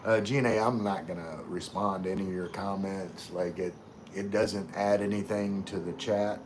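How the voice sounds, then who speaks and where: Man, from Louisiana, very low voice, rambling livestream chat, lots of fillers, faint hum behind. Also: moderate pace. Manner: relaxed, rambling, many fillers and restarts. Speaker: livestream host reading chat. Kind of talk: casual live chat.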